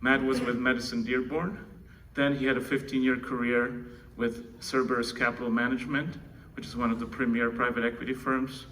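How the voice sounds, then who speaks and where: A man with a Czech accent speaks informally to a conference from a podium-style introduction. His voice is bright and forceful, with fairly even pitch, picked up at a distance with faint noise. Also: brisk, few pauses. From Czech Republic. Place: indoor conference room. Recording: distant mic, faint noise, room ambience.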